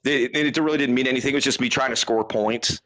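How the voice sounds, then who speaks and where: A man's voice: medium pitch, fairly even intonation, fast, fairly bright tone. A man talking as a guest in a casual interview, emphatic and loose, often tossing in "man" as he makes his points. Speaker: podcast guest, dating coach.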